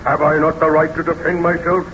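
An actor playing an embittered, wretched monster in a 1930s radio serial, melodramatic and formal, pleading and menacing by turns. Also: male voice, a little high, little pitch movement, brisk, close to an everyday voice. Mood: aggrieved, pleading. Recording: noisy, muffled 1930s radio recording.

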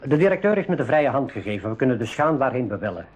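An actor playing an affable, helpful game warden and guide in a school radio drama, speaking in a measured, explanatory way. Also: male voice, mid-range voice, sing-song, a little mellow, poor audio. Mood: friendly.

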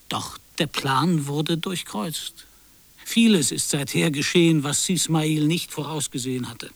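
An actor playing a terse, sombre man who recites a tale of intrigue in clipped, solemn phrases, in a literary radio drama style with a husky voice. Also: on the high side.

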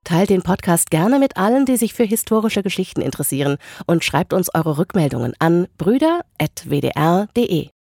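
A woman's voice: on the low side, sing-song, moderate pace, creaky, warm tone, slightly rough, no echo, studio quality. A woman reading a scripted podcast outro as announcer.

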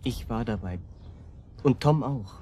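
A hesitant young man played by an actor in a naturalistic radio drama. His voice sits at an ordinary male pitch and his sentences falter and repeat, weighed down by self-doubt. There is noticeable noise and ambience behind him. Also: mellow, close to an everyday voice. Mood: guilty, hesitant. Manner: halting, repeating himself.

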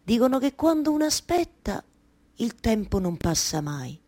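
A woman's voice: fairly high pitch, big pitch swings, moderate pace, dark tone. An actress playing a melancholy, wry waiting woman in a theatrical monologue, with a Roman Italian accent and repetitive, colloquial storytelling. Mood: wistful, intimate. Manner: incantatory repetition, shifting from declaiming to intimate colloquial storytelling.

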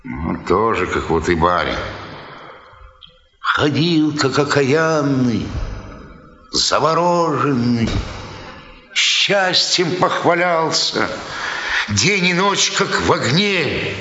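An actor playing a bitter, lovelorn tramp who broods in slow folk speech in a radio play. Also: male voice, medium pitch, very animated, bright, forceful tone, full character voice. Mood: anguished, bitter. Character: bitter, lovelorn male tramp brooding over women's deceit. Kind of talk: theatrical Russian radio drama acting. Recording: dull, noisy, echoey radio play recording.

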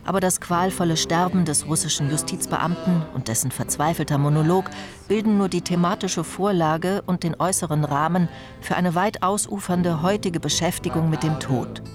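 A woman's voice: on the low side, normal speed, music in the background. A woman reporter reading scripted narration for an arts feature.

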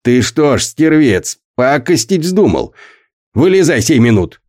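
A man's voice: mid-range voice, very animated, a little slow, a little mellow, pitched above the performer's own voice. A man voicing a coarse, brutal middle-aged foreman who bullies and barks at boys, read as part of an audiobook. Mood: bullying. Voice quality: coarse, bullying, barking. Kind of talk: audiobook character voice.

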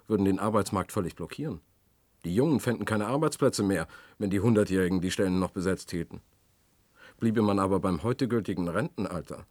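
An actor playing a shrewd senior trade union official in a German radio play, formal, eloquent and pointed. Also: male voice, lowish voice, a little mellow, no room sound, lightly acted.

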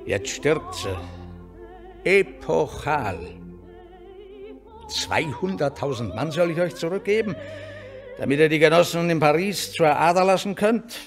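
A man's voice: on the high side, animated, a little slow, long pauses. Over opera music comes a blustering Prussian statesman, his voice swinging widely. He barks brusque, clipped orders, breaks off into asides and stays bossy and jovially cynical, in radio drama style. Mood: mocking, jovial. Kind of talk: German radio drama acting. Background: opera performance.

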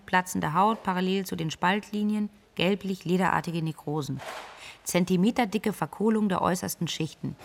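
A woman's voice: fairly low pitch, a little hiss or hum, close to an everyday voice. An actress playing a composed, clinically precise medical woman, recounting events interview-style in a radio drama.

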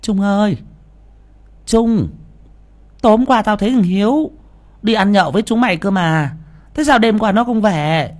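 A man's voice: high-pitched, normal speed, pauses often, pitched above the performer's own voice, lightly acted. Man putting on a wailing older village mother. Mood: anxious. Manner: wailing, lamenting.

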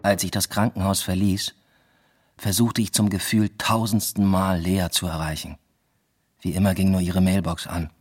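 Low, husky young narrator. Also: male voice, little pitch movement, normal speed. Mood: matter-of-fact. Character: reflective young man narrating his own story. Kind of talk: first-person radio drama narration.

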